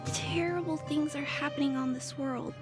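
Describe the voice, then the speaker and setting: A woman voicing a polite, earnest young girl in a video game, speaking softly and a little hesitantly. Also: sits high, fairly even intonation, moderate pace, lightly acted. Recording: game audio with clear music behind.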